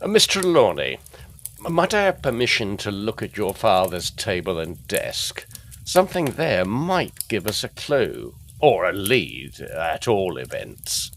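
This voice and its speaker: A man playing a courteous, methodical, seasoned police detective in a gothic audio drama, deliberate and deferential. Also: fairly low pitch, sing-song, creaky, slight background noise, full character voice. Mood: measured, curious. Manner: deliberate, deferential, slightly hesitant.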